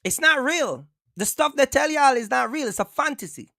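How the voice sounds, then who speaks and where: Male livestream host, emphatic and repetitive, bright voice, dry close sound. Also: very high voice, expressive, moderate pace, creaky, no room sound. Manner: emphatic, repetitive, keeps asking viewers for likes. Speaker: trucking channel host. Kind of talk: casual livestream rant.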